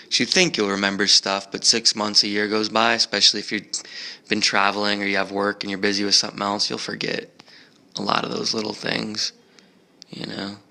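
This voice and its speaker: A man's voice: on the low side, little pitch movement, a little fast, gravelly, pushed and bright. An American man chatting casually as a travel vlogger in a museum park, rambling with many fillers and restarts.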